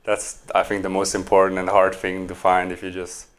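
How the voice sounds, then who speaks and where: A man's voice: low-pitched, completely flat delivery, brisk. A man from Switzerland chatting casually as a podcast guest, informal and peppering his explanations with filler words. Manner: informal, frequent hedging with "like". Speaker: podcast guest, online entrepreneur. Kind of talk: casual interview chat.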